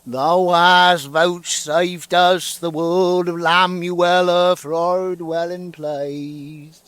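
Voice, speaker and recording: Pompous high-pitched village priest. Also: male voice, pushed and bright, clean tone, no room sound, full character voice. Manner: declamatory, preachy, indignant. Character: pompous, fanatical male village priest of an absurd faith. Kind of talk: comic radio drama acting.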